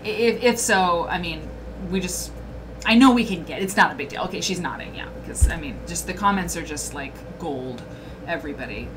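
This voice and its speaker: A woman's voice: lowish voice, lively intonation, fairly quick, noisy background. A woman hosting a casual livestream chat from a small room, reading viewer comments aloud and talking to them directly. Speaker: host.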